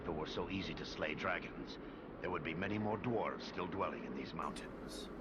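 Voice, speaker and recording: A man's voice: sits low, moderate pace, very noisy, natural voice. A man voicing a proud, grave male dwarf lord in a video game, speaking formally. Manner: formal, stately speech. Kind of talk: video game cutscene acting.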